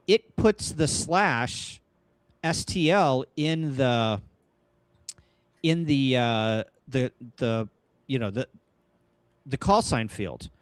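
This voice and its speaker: A man's voice: mid-range voice, dry recording. Dry-sounding male ham radio host. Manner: thinks aloud with many restarts. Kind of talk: casual technical chat.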